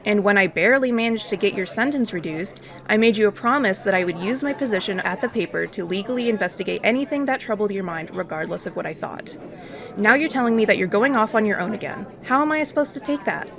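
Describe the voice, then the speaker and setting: A woman's voice: medium pitch, animated, quick pace, gravelly, crowd noise. A woman playing a firm newspaper reporter. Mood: stern. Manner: firm, direct questioning. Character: firm female newspaper reporter, protective friend. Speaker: reporter character. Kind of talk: audio drama acting.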